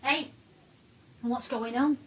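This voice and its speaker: Mum in a radio play, bit high, wary n protective, off mic, some noise. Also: female voice, fairly even intonation, slow. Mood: suspicious, protective. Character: protective, suspicious working-class mother of the lad. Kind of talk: naturalistic radio drama dialogue.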